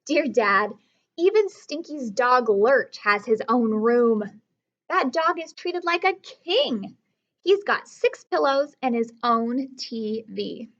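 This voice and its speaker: A woman voicing a persuasive, self-important schoolboy in a storybook read-aloud, pleading with emphatic, drawn-out words. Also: a little high, lively intonation, a bit bright, muffled recording, exaggerated voice. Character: persuasive, self-important school-age boy writing to his dad.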